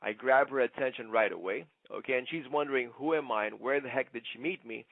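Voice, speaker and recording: A man giving an instructional sales presentation like a trainer, conversational but emphatic, often checking in with a quick "okay?". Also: medium pitch, vocal fry, dry recording. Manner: conversational, punctuates points with "okay?". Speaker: network marketing trainer. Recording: muffled, narrow phone-like sound.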